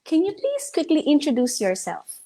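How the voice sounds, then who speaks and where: A woman's voice: high-pitched, wildly swooping pitch, moderate pace, warm tone. A woman hosting an online livestream interview in an encouraging, admiring manner. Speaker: interview host.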